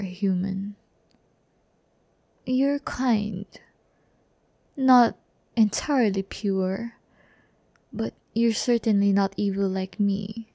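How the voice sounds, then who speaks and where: A woman's voice: medium pitch, creaky, mellow, no echo. A woman playing a seductive, haughty yet tender demon in an ASMR roleplay, teasing and often near a whisper.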